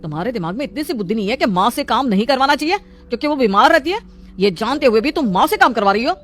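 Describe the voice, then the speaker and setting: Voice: medium pitch, lively intonation, quick pace, fairly bright tone, a little hiss or hum, lightly acted. A performer voicing an arrogant, scornful young husband who talks harshly and haughtily in a storybook drama. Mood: angry.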